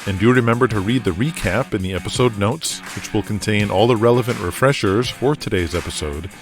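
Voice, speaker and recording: Low-voiced man narrating over music. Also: fairly quick. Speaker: host and narrator. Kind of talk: scripted narration.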